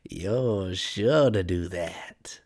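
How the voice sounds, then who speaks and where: A storyteller voicing a grinning, mischievous mad cat from a storybook, with drawn-out, teasing words and a breathy edge. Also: low voice, darkish tone, exaggerated voice. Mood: sly, languid. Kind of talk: storybook character voice.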